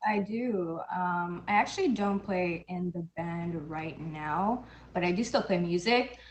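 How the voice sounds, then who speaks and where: A woman's voice: fairly low pitch, a little slow, a little hiss or hum. A woman from Canada whose first language is Portuguese, chatting as an interview guest in an easygoing, modest way. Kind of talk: casual interview.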